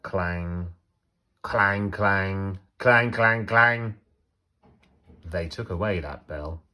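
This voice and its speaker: A man reading a children's story aloud like a teacher, expressively, with emphatic phrasing and pauses for effect. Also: low-pitched, bright, forceful tone.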